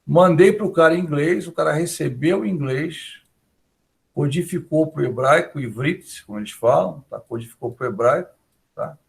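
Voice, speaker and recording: A man's voice: on the high side, normal speed, vocal fry. A male professor with a Brazilian Portuguese accent, lecturing in a chatty, conversational way and often tagging his sentences with little check-in questions. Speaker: university professor. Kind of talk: online class lecture, conversational.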